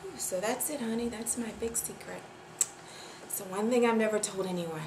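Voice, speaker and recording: An actress playing a guilt-ridden woman confessing a long-held secret in a dramatic monologue, halting and repeating herself. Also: mid-range voice, natural voice. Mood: subdued, guilty. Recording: echoey room with clear background noise.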